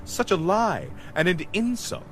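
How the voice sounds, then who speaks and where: An actor playing a florid, swashbuckling Shakespearean thief in a radio serial, with grand archaic phrasing. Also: male voice, high-pitched, sing-song, measured pace, soft background music, exaggerated voice. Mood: haughty, menacing. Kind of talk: old-time radio serial acting.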